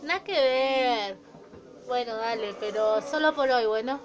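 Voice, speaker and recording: A woman's voice: fairly high pitch, unhurried, dull audio, close to an everyday voice. A woman playing an easygoing, bickering schoolgirl with an Argentine accent in an amateur radio play. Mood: casual. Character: easygoing, tardy schoolgirl who bickers with her friend. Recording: noisy amateur recording with clicks.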